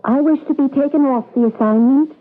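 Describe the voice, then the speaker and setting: An actress playing a frightened, lovesick young expectant mother in a radio drama, halting and pleading, with an American accent. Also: sits high, slight background noise, close to an everyday voice. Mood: pleading, defiant. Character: frightened, lovesick expectant young woman, secretly a programmed operative. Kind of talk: old-time radio drama acting. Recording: tinny, narrow-band radio drama recording.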